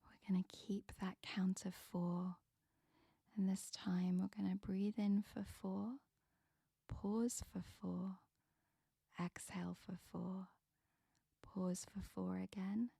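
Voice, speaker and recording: A woman softly whispering a guided meditation with slow, soothing breath counts. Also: mid-range voice, level tone, gravelly, husky, dry recording.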